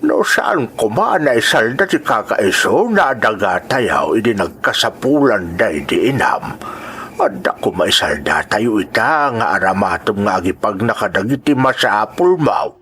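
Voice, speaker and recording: A man with an Ilocano accent playing a gentle, caring old father with a husky voice in a radio drama. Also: on the low side, sing-song, unhurried, a little hiss or hum. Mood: apologetic, sorrowful.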